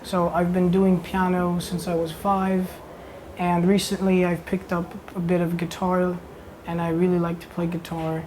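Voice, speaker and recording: A man's voice: sits high, completely flat delivery, moderate pace, darkish tone, slight background noise, poor audio. A young man from Italy speaking as a panelist in a casual round-table discussion, hesitant and searching for words.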